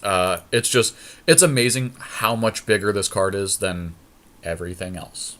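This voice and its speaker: A man's voice: fairly low pitch, measured pace, fairly bright tone. A man co-hosting a casual podcast, talking through a card game draft in a blunt, opinionated way. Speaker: podcast co-host.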